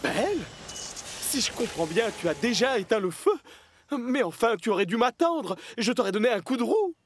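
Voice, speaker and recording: Camion de pompiers exalté, aigu. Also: male voice, very high-pitched, sing-song, moderate pace, slightly rough, full character voice. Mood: surprised, put out. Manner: theatrical, swinging between bragging and sulking. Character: eager, boastful, easily discouraged male fire engine. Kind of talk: French cartoon dub acting.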